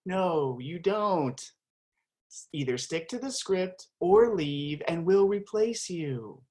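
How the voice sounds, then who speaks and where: A woman's voice: lowish voice, animated, takes it slowly, warm tone. A woman playing a flustered, stammering stage manager who scolds the narrator in a community stage play. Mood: scolding. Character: flustered female stage manager trying to keep the show going.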